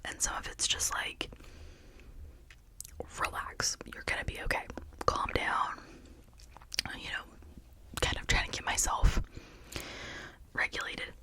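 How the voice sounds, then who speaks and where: A person speaking in a breathy, unvoiced whisper, rambling in a confiding, personal way for an ASMR video.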